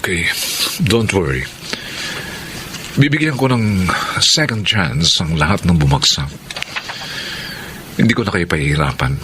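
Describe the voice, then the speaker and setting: An earnest, persistent Filipino professor from a radio play. He is polite, with a deep voice and very lively intonation, over clear background noise. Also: a little slow, pauses often. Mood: earnest, conceding. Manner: polite, earnest, mixes in English phrases. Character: earnest, persistent male professor courting his student. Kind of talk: radio drama acting.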